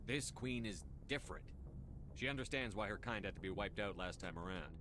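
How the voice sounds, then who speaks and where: An actor playing a calm, authoritative military starship commander in a video game, speaking in a measured, commanding manner. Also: male voice, fairly low pitch. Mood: firm, composed.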